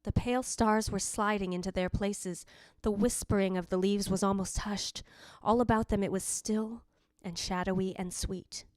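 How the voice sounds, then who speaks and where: A woman plays the ghost of a dead wife, narrating a radio play in a dry, close sound. She is jealous and anguished, with a medium-pitched voice and a deliberate, theatrical, literary delivery. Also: fairly even intonation, darkish tone, dry recording, natural voice. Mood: wistful. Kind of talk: old-time radio drama narration.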